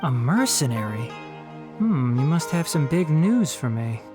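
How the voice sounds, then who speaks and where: An actor playing a young male forest watcher in a video game, brisk, sensible and courteous. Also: mid-range voice, very animated, music in the background. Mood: curious.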